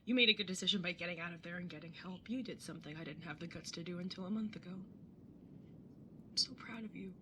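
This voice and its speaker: A woman's voice: on the low side, brisk, background noise, natural voice. A woman playing a candid, protective older sister in an audio drama about a road trip. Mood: remorseful, tender. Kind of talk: audio drama acting with first-person narration.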